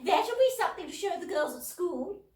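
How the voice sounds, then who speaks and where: A woman's voice: extremely high pitch, sing-song, normal speed, a little mellow, voice pushed up. A woman voicing a pouting, affected older sister who puts on a posh tone. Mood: haughty. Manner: haughty, put-on posh tone. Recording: roomy echo.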